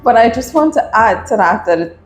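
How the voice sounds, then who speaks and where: A Trinidadian woman in her thirties, a guest telling a story in a casual interview, animated and laughing often. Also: on the high side, moderate pace, echoey room, faint music behind. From Trinidad and Tobago.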